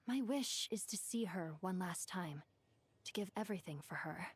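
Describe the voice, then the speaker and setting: A woman's voice: fairly low pitch, animated, a little slow, husky, full character voice. A woman voicing an ancient, devoted djinn for a video game, speaking in a theatrical, eloquent and formal way. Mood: solemn, yearning. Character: ancient djinn woman, grand, wistful, devoted to her lost mistress. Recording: music behind the voice.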